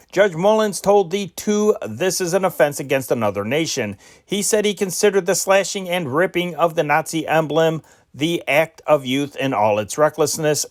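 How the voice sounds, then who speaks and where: Man reading old newspaper dispatches. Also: a little high. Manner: amateur, measured reading with occasional slips and self-corrections. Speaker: news reader.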